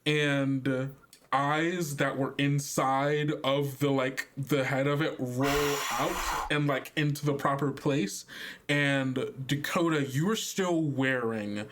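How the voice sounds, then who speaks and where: A man hosting as game master, improvising in a halting, thinking-aloud way. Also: mid-range voice, steady tone, a bit bright, a little hiss or hum. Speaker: game master and podcast host. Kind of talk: improvised tabletop game narration.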